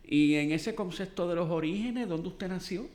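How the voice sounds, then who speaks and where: A man's voice: high voice, normal speed, a little mellow. A man with a Puerto Rican accent hosting an oral history interview, speaking formally and courteously. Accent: Puerto Rican Spanish. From Puerto Rico. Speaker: interviewer, archive director. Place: boardroom.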